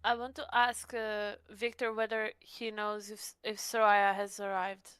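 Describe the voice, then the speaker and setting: A woman's voice: medium pitch, little pitch movement, a little slow, a bit bright, clean tone, dry recording. A woman chatting casually as a player in a tabletop roleplaying game.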